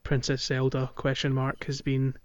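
A man's voice: mid-range voice, completely flat delivery, normal speed, no room sound. A man chatting casually and jokily as a gaming podcast co-host. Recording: slightly dull, lo-fi microphone.

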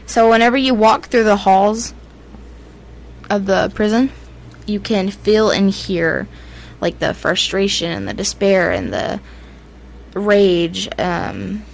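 A woman's voice: medium pitch, animated, slow pace, vocal fry, long pauses, slight background noise. A young woman, co-hosting a podcast and telling ghost stories in a rambling way, full of fillers. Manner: rambling, filler-heavy, giggly. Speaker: podcast co-host. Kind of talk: casual ghost-story chat.

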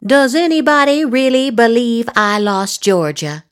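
A woman's voice: a little high, unhurried, very noisy. A woman podcast host giving a theatrical, emphatic reading of social media posts. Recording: loud music bed.

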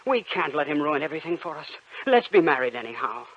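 A man's voice: a little high, big pitch swings, fast talker, a little hiss or hum. An actor playing a poor, earnest young man in love, pleading and indignant in an old radio drama. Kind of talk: 1940s radio acting. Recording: dull lo-fi old radio recording.

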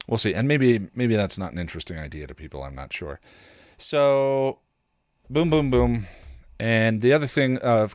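Male podcast host, low voice, dry close-mic sound, informal and halting, working through thoughts aloud. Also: no room sound. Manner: rambling, halting, thinking aloud. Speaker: podcast host and content creator. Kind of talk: casual solo podcast chat.

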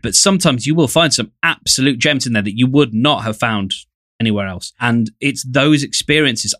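A man's voice: medium pitch, a bit bright, no echo, studio quality. A man with an English accent co-hosting a podcast, talking casually in a wordy, jokey way. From England. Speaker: podcast co-host.

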